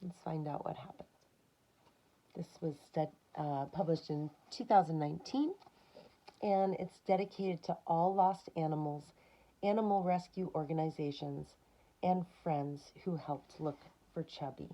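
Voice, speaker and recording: Dark-voiced woman reading a children's story. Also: deep voice, a little slow, muffled recording. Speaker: storyteller reading a picture book aloud. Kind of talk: expressive children's story reading with short asides.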